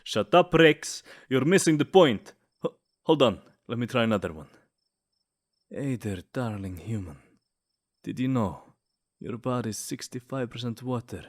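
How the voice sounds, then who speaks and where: A man's voice: mid-range voice, expressive, unhurried. Lovesick male vampire, played by a man for comedic ASMR roleplay: jealous, snide, theatrically flirtatious. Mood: flustered.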